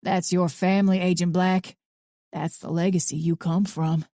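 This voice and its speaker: A woman voicing a bitter, mean old man in his eighties who sneers and talks in rough country grammar. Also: fairly low pitch, little pitch movement, normal speed, mellow, no echo, studio quality, lightly acted.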